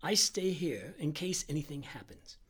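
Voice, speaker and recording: A man voicing an anxious, high-pitched little Indian doctor. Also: lively intonation, fairly bright tone, heavily acted. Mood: urgent. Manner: urgent, halting, deliberate, breathy at times. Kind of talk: audiobook character dialogue.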